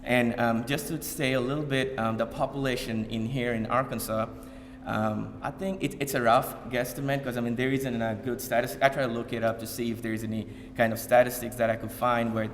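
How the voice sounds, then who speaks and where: Halting man speaking in a reverberant hall. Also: mid-range voice, flat delivery, a little hiss or hum. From Bangladesh. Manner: halting, many fillers and repeated starts. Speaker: community speaker. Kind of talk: informal public talk to an audience. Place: event hall.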